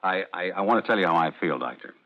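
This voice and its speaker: Radio drama: a deep-voiced, halting, coldly menacing wife-killer patient. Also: male voice, lively intonation. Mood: eerie calm. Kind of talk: 1940s radio acting. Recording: dull lo-fi old radio recording.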